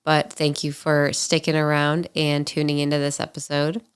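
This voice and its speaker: A woman's voice: sits low, completely flat delivery, normal speed, clear, resonant tone. A woman in her twenties hosting a podcast, telling stories in a candid, chatty way. She sounds a little nasal and stuffy from allergies. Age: 20s. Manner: candid, chatty, frequent ums and likes. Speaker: podcast host. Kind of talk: casual solo storytelling.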